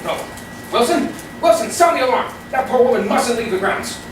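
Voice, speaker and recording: A man's voice: very high voice, expressive. A man playing an earnest, self-assured psychiatrist in a comic stage play, with a clinical and commanding manner. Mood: alarmed, commanding. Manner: clinical and measured, turning to urgent barked orders. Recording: distant, echoey, very noisy hall recording.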